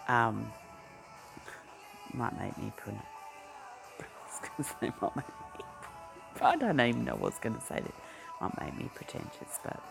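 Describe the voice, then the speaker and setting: A man with a broad Australian accent rambles through a casual vlog chat and keeps losing his thread, filling gaps with ums. His voice has a gravelly, creaky edge and an unhurried pace. A song plays clearly behind him. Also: lowish voice, rough recording. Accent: Australian, broadened by life in Queensland. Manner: meandering, easily sidetracked, many ums and restarts. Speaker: vlogger. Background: a pop song playing.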